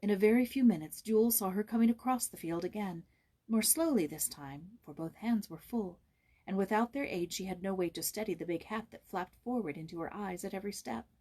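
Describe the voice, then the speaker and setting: A woman narrating a children's storybook as scripted, expressive reading. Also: medium pitch, brisk. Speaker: audiobook narrator, voice actor.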